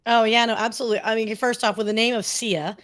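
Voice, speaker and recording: A woman's voice: on the high side, steady tone, fast, a bit bright, no echo. A woman podcast guest talking casually and effusively, informal and self-deprecating, wandering off on tangents. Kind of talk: casual, chatty interview answers.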